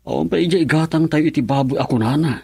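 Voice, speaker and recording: A man's voice: fairly high pitch, quick pace, gravelly, a little mellow, slightly rough, no room sound, studio quality, exaggerated voice. A man acting a worried, brooding middle-aged father in an Ilocano radio drama. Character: worried middle-aged father drinking away his anxiety.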